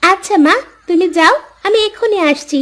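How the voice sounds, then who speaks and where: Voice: very high voice, moderate pace, fairly bright tone. A performer voicing a cheeky, affectionate young farmer's daughter in a moral-story cartoon, teasing and a little bossy. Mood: playful.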